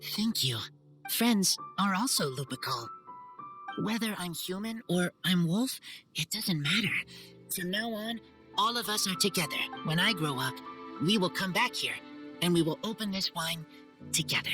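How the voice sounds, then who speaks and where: A woman voicing an earnest, shy wild boy in a video game, speaking in halting, simple sentences. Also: on the low side, animated, sharp tone, soft background music. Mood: heartfelt. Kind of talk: animated video game voice acting.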